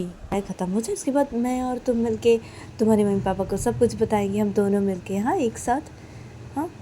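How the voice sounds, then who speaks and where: Anxious young woman, gentle and pleading, voiced by a woman narrator. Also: medium pitch, a little hiss or hum, natural voice. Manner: pleading, explaining herself gently.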